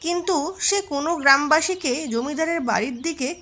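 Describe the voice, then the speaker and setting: A woman's voice: high voice, brisk, sharp tone. A woman narrator reading a scripted storybook tale for a cartoon.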